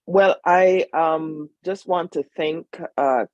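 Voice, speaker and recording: A woman guest speaker on a video call, talking calmly and invitingly, with soft hums between her phrases. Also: deep voice, extremely slow, no echo, lo-fi sound. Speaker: guest speaker from a partner organisation. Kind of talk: online panel talk.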